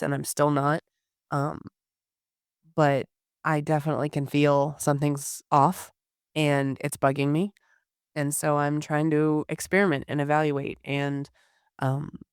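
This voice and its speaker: A hoarse woman giving a candid personal health update to her listeners, with frequent pauses and restarts. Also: low voice, no room sound, clean studio sound. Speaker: podcast host.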